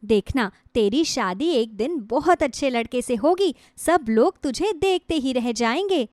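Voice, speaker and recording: A woman's voice: sits high, expressive, measured pace, a little mellow, dry recording, studio quality. A woman voicing a devoted, reassuring middle-aged mother in a moral story cartoon, earnest and soothing. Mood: comforting.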